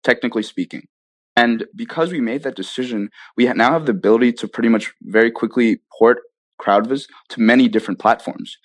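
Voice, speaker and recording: A man's voice: mid-range voice, a little fast. A man speaking as an interview guest about his work, in an earnest, informal way with frequent "you know"s. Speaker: guest, app developer. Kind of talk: casual interview conversation.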